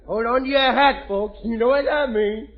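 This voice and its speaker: A man's voice: extremely high pitch, moderate pace. A bratty little boy in baby talk. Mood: silly. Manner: childish ungrammatical baby talk. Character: mischievous little boy Junior, bratty and cheeky. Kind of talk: 1940s radio comedy acting. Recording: noisy lo-fi vintage radio broadcast.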